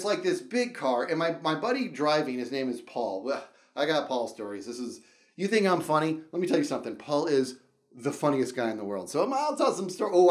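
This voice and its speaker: A middle-aged man telling a casual, rambling comedic story in an animated way, acting out dialogue and reactions as he goes. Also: a little high, roomy sound. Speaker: storyteller and show host.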